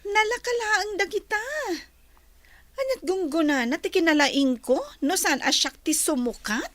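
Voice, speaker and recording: A woman's voice: extremely high pitch, lively intonation, measured pace, a bit bright, no room sound, heavily acted. A woman playing a boastful, teasing office worker in a radio-style drama.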